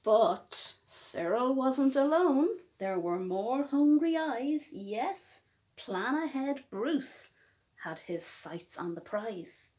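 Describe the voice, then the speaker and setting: Female children's storyteller: high voice, unhurried pace, dramatic emphasis on rhyming verse. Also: darkish tone. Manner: dramatic, emphatic, with drawn-out words and pauses. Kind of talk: expressive picture-book storytelling in rhyme.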